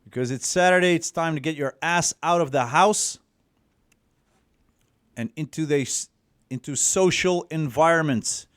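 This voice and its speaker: A Dutch man with a clear Dutch accent coaches in a loose, rambling way, swearing casually and restarting his sentences. He talks at an unhurried pace in a smooth, resonant voice that sits a little above the usual male pitch. The recording is dry and close. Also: a bit bright, dry recording. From Netherlands. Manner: rambling, profane, many fillers and restarts. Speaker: dating coach.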